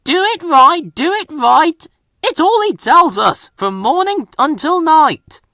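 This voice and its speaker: Storybook role: a naughty young twin engine, high, sharp and whiny, full of cheek. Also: male voice, big pitch swings, slow, no echo, studio quality, exaggerated voice. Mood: cheeky, rude. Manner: mocking, rude, whining.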